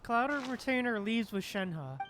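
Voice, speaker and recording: Slow, playful woman streamer. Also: on the high side, animated, noisy background. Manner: playful, chiming in over dialogue. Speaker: streamer playing a game. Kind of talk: casual live gaming reaction. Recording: game music behind the voice. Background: game music.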